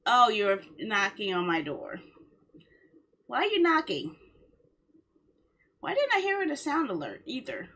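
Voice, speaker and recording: A woman chatting casually on a livestream in a rambling way, with a husky voice. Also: a little high, huge pitch swings, a little slow, pauses often, a bit bright. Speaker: live streamer.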